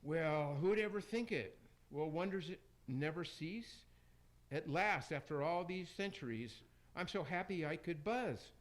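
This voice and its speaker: A man playing an excitable, repentant Roman emperor with effusive, rhyming speech in amateur radio theater. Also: a little high, a little slow. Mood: moved, joyful.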